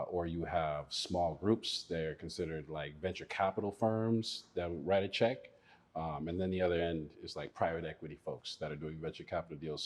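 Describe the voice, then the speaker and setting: A man's voice: low voice, a little mellow. A man from Malawi, an attorney in his thirties, chatting casually in an interview with many fillers and frequent laughs. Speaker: attorney and investor.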